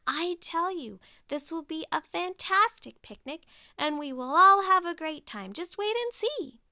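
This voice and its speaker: A woman's voice: high-pitched, moderate pace, no echo, clean studio sound, heavily acted. Woman doing a bubbly boastful pig. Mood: excited. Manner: bubbly, excited, exclaiming. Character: cheerful boastful carefree pig.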